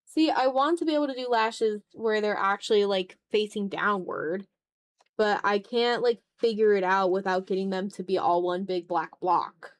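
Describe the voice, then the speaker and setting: Woman, art livestreamer, mid-pitched voice, casual rambling chat, self-deprecating. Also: moderate pace, dry recording. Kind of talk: casual chat while drawing on stream.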